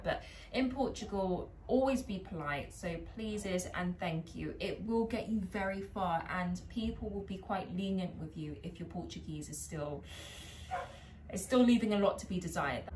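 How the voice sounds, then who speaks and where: A woman's voice: medium pitch, a little mellow, a little hiss or hum, poor audio. Chatty British woman vlogging. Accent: British English. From England. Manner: chatty, self-deprecating, trying out foreign phrases. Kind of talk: casual vlog chat. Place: home garden.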